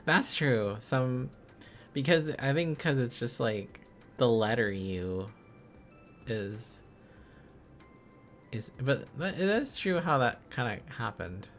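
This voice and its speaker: A man's voice: mid-range voice, lively intonation, slow pace, pauses often, a bit bright, soft background music. A man live-streaming a casual lesson, thinking aloud in a hesitant, rambling, playful way.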